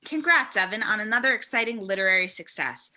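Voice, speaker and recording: A woman's voice: mid-range voice, expressive, fast, sharp tone, rough recording. A woman who works as an actress, guest reading and chatting in a casual comedic style.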